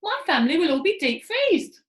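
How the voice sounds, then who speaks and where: A woman reader gives voice to a sceptical father monkey in a children's storybook. He frets, questions and exclaims with lively pitch, in an echoey room. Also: brisk. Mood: worried, doubtful.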